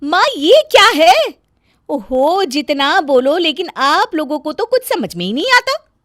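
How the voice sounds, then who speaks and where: A woman's voice: high-pitched, sing-song, measured pace, fairly bright tone, dry recording, clean studio sound, voice pushed up, exaggerated voice. A woman voicing a nagging, boastful young Indian daughter-in-law in a storybook tale, with a scolding, self-important manner. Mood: scolding, fussy.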